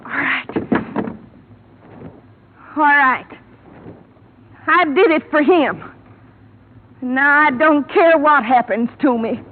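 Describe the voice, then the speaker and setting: An actress playing Millie, an obsessive hired girl confessing a killing, in 1950s radio drama style with low, pleading intensity. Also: slow, long pauses. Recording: tinny old radio broadcast, faint hiss.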